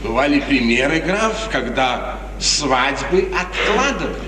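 An actor playing a smooth, scheming courtier in a florid, insinuating, falsely friendly manner, in 1950s radio theatre style. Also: male voice, mid-range voice, sing-song, unhurried, bright, forceful tone, recorded from a distance. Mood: pressing, insinuating. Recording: noisy echoey 1950s radio drama recording.

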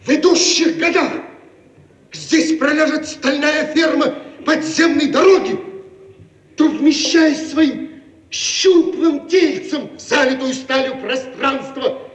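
Very high, declaiming visionary inventor. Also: male voice, slow. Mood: grave warning. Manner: declamatory, oratorical. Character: intense, indignant male inventor, visionary and highly strung. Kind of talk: theatrical radio play acting. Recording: echoey archival radio play recording.

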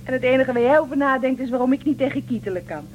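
An actress playing a lively, headstrong young female space traveller in a science fiction radio drama, with an expressive, conversational delivery. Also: high-pitched, very fast, dull audio, slight background noise, lightly acted. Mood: playful, indignant.

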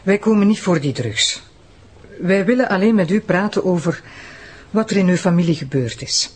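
Husky, lively Flemish female prosecutor. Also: fairly low pitch, moderate pace. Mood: firm, coaxing. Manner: persuasive, authoritative. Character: firm, coaxing female deputy public prosecutor. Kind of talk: crime audio drama acting.

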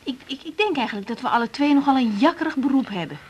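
An actress with a Netherlands Dutch accent playing a tender, shy young doctor, hesitant and soft, in a 1980s radio drama. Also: female voice, high-pitched, animated, fast, breathy edge, lo-fi sound. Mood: hesitant, uneasy. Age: young adult. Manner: hesitant, soft, repeating words. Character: tired young woman doctor, tender, slightly shy dinner guest.

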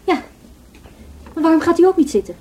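An actress playing a frank, self-assured young student in a radio play, informal and unembarrassed. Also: female voice, very high-pitched, animated, brisk, dark tone, slight background noise. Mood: breezy. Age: young adult.

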